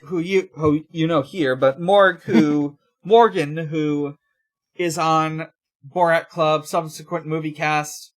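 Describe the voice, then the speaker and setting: A young man co-hosting a podcast in casual chat, talking in a halting, rambling way and often correcting himself. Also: on the high side, fairly even intonation. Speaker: podcast co-host.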